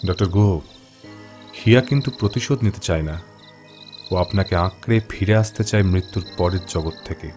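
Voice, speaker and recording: An actor playing a confident young paranormal investigator in an audio horror drama, explaining things gravely and deliberately. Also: male voice, deep voice, over music, lightly acted. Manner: grave, deliberate explaining, mixes in English words.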